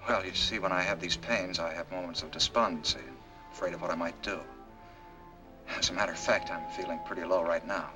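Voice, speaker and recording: An actor in a 1940s film playing a polite, hesitant man seeking a doctor's help, speaking haltingly. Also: low-pitched, steady tone, close to an everyday voice, rough recording. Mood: anxious, downcast. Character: polite, hesitant man posing as troubled patient. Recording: vintage film soundtrack, loud music behind.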